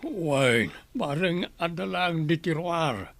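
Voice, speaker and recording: A man's voice: on the high side, expressive, slow pace, vocal fry, fairly bright tone, no room sound, soft background music, full character voice. An actor playing a kindly, complaining old uncle in an Ilocano radio drama, fatherly and grumbling, with an Ilocano accent. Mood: concerned.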